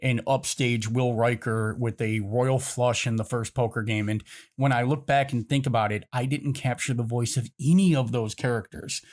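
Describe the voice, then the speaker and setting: A man's voice: medium pitch, steady tone, moderate pace, darkish tone. A man speaking casually as a podcast panelist, reflective and explanatory in manner. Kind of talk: casual discussion.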